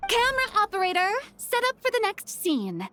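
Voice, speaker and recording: A woman's voice: very high voice, huge pitch swings, fairly quick, full character voice. A woman voicing a theatrical, bossy and cheerful young female film director in an anime video game. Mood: brisk, commanding. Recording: music underneath. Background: background music.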